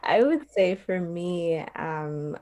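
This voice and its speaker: A young adult woman from Trinidad, a professional dancer, answering interview questions in a reflective way with many pauses and fillers. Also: fairly low pitch, animated, a little mellow. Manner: reflective, many ums and you-knows.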